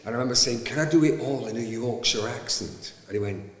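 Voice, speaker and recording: Mid-pitched joker of a man, long reverb around his voice. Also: fast, a bit bright. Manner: jokey, interjecting. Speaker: actor. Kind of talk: reminiscing interview. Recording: echoey hall.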